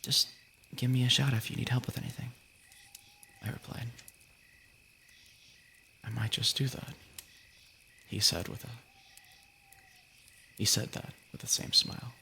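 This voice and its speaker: A man with a gravelly, creaky voice casually reading stories as a livestream host, informal and soft-edged. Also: medium pitch, fairly even intonation, a little slow, pauses often, a little hiss or hum.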